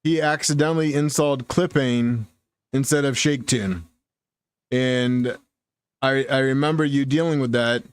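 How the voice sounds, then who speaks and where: A man hosts a casual live chat about gear, his voice close and dry against the mic. He talks in a relaxed, thinking-aloud way, often backing up and restarting a sentence mid-thought. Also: mid-range voice, a little slow, clean tone, no room sound. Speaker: livestream host.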